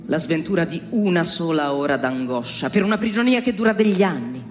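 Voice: medium pitch, animated, brisk, close to an everyday voice. An actor delivering a theatrical monologue as an outraged, bitterly scornful accuser, declaiming in rhetorical questions. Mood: anguished, accusing. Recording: long hall reverb, music underneath.

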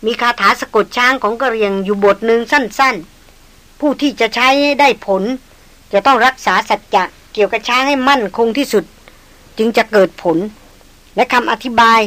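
A woman putting on a calm, gruff jungle hunter for a storybook. He talks slowly and steadily, always polite, in a somewhat high voice. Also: no room sound, close to an everyday voice, rough recording.

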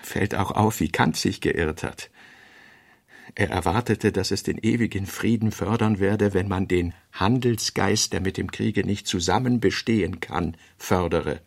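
A man with a gravelly, creaky voice reads literary notes for a radio production. The sound is dry and close, with no room around it. His delivery is deliberate and clipped, setting out fragments and theses one by one. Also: deep voice, dry recording. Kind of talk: scripted literary radio reading, essayistic and fragmentary.

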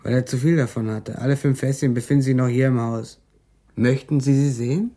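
An actor playing a sardonic young drug dealer in a radio crime drama, polite and mocking. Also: male voice, mid-range voice, steady tone, brisk, warm tone, close to an everyday voice. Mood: cool, mocking. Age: young adult.

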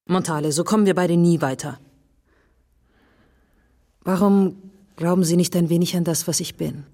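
An actress playing an earnest policewoman in a German radio drama, questioning in a deliberate, intimate and insistent way. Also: on the low side, dark tone. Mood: challenging, earnest. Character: earnest, probing policewoman challenging a wary man.

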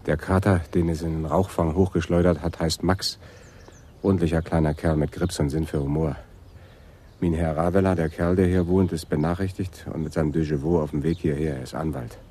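An actor playing an observant, nature-loving police sergeant from Amsterdam in a German radio drama. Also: male voice, a bass voice, fairly even intonation, a little fast, warm tone, breathy edge. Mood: matter-of-fact.

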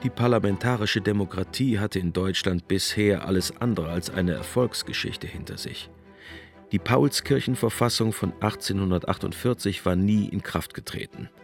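A man reading scripted documentary narration in a measured, explanatory manner. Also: on the low side, soft background music.

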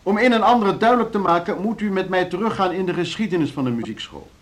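Pompous, high-pitched board chairman. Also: animated, fast talker. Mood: flustered, insistent. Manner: pompous, oratorical, self-repeating. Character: pompous male board chairman, formal, placating and evasive. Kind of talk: formal speech in Dutch radio play.

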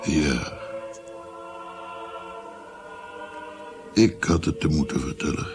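An actor playing an old grandfather in a radio play, recounting his past in a slow, grave, guilt-ridden way. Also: rumbling low, over music. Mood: remorseful. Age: older. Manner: slow, grave, reflective storytelling. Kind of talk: radio play narration and dialogue.

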